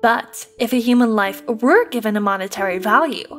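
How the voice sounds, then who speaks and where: A woman's voice: fairly high pitch, moderate pace, a bit bright, natural voice. A woman playing a schoolteacher in a manga dub, putting probing questions to a class in an instructive way. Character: animated female schoolteacher posing questions to children. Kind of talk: scripted manga dub acting. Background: background music.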